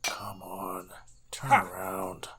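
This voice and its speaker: A man playing a gruff, earnest, vengeful archer in a fantasy audio drama, speaking tersely in a husky voice. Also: lowish voice, big pitch swings, very slow, background noise, exaggerated voice. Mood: hushed, intent. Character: gruff, vengeful male archer of the forest, plain-spoken. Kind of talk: tabletop-inspired audio drama acting.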